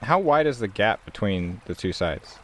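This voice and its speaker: A man's voice: fairly low pitch, lively intonation, moderate pace. A man playing a tabletop game, casually planning his character's moves and asking questions.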